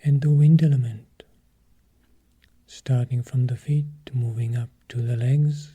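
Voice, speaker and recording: A man teaching a guided meditation in a soft, breathy, husky voice, calmly giving short, measured phrases with long pauses between them. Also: medium pitch.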